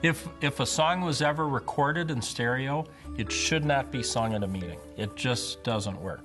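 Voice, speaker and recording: A man's voice: medium pitch, normal speed, music in the background. A man, a club member, giving wry opinions in a casual interview.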